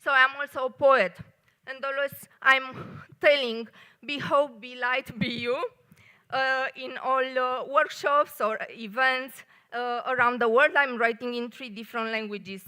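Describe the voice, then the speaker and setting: A woman from Romania with a Romanian accent, an engineer giving a short live talk at a café event, speaking carefully with many pauses. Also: high-pitched, fairly even intonation, bright, forceful tone, clear, resonant tone, low-quality recording. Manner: careful non-native phrasing with many pauses.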